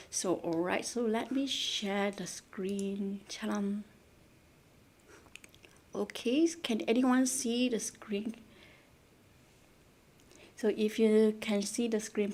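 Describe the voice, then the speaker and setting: A woman's voice: medium pitch, a little mellow. A woman from Malaysia teaching Japanese idioms in a live online lesson, repeating words and asking viewers questions, switching between English and Japanese.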